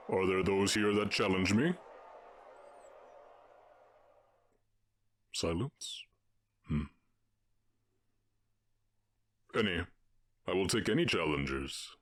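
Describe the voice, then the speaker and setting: A man voicing a stern, imposing orc warchief in a fantasy audio roleplay, speaking in deliberate, imperious proclamations. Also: low-pitched, expressive, a little hiss or hum. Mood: challenging.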